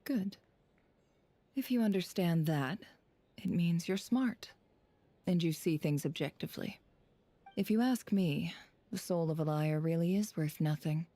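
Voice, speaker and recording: Low, mellow, brooding game heroine. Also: female voice, a little slow, pauses often, no echo. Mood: cool, cynical. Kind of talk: video game voice acting.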